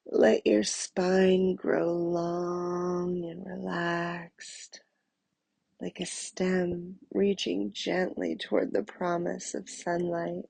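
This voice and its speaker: A woman narrates a guided meditation in a soft, mellow voice with heavy creak at the edges. Her delivery is even and soothing, with long pauses between short phrases. The sound is husky and gentle throughout. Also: lowish voice, no room sound.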